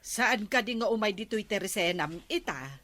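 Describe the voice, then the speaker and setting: A woman's voice: medium pitch, expressive, moderate pace, pushed and bright, a little hiss or hum. An actress playing a nervous, gossipy village woman with an Ilocano accent in a radio comedy drama, chatty and exclamatory. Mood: amused, gossipy.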